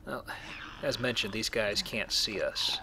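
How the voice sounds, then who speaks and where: A man's voice: fairly low pitch, measured pace, background noise. A man hosting a let's play, explaining things casually with a joking manner. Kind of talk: casual game commentary.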